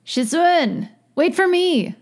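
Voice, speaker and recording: A woman puts on an adoring young man in a fan fiction audiobook. His voice leaps high into a needy wail, clinging and devoted. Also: lively intonation, slow pace, full character voice.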